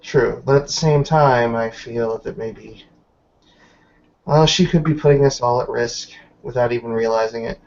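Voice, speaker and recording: A dull, lo-fi recording of a man playing a wary female werewolf in a tabletop game. She speaks formally and deliberately, weighing her words with blunt caution. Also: mid-range voice, lightly acted. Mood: measured, concerned.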